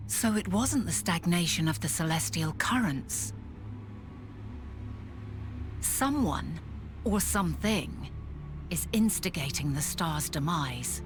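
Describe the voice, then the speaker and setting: A solemn ancient stateswoman in a fantasy game. Her voice sits low, and she chooses formal, tactful words with deliberation. Faint music runs behind her. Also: lively intonation, slow, long pauses. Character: dignified ancient woman leader, solemn and diplomatic. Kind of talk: video game cutscene acting.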